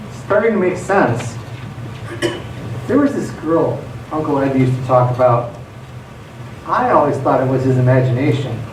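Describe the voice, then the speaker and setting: A man's voice: mid-range voice, darkish tone. A man acting a reflective, wistful monologue in live radio theatre. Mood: wondering. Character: reflective, wistful man musing on a dark world. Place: theatre stage. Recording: echoey stage, distant mic, faint noise.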